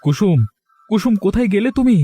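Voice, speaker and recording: Male actor as poor young farmer, cartoon, deferential, husky, mellow, very lively intonation. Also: high voice, a little fast, faint music behind. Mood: eager, respectful. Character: humble poor young farmer, eager respectful son-in-law. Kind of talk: cartoon voice acting.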